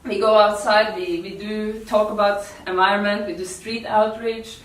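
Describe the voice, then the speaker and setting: A woman from Norway with a Norwegian accent gives an informal workshop talk in non-native English, halting and repeating words. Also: medium pitch, level tone. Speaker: activist workshop speaker. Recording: echoey room, distant mic.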